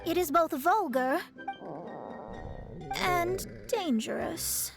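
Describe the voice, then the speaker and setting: A woman's voice: very high-pitched, lively intonation, very slow, music in the background, heavily acted. A woman voicing a proud young astrologist in a video game, lofty and formal in her speech. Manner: lofty, formal, stammers when flustered. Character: proud young female astrologist, haughty yet broke.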